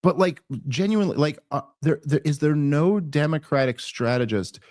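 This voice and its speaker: A man's voice: a little high, lively intonation, normal speed, darkish tone. Exasperated American man, rambling podcast host. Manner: rambling, many restarts and fillers; sarcastic, exasperated. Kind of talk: casual political commentary.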